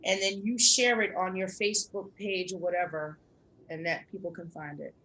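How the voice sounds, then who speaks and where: A woman's voice: lowish voice, steady tone, normal speed, bright, forceful tone, slight background noise, rough recording. A woman hosting an informal interview, steering the conversation in a casual, conversational way. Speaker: host.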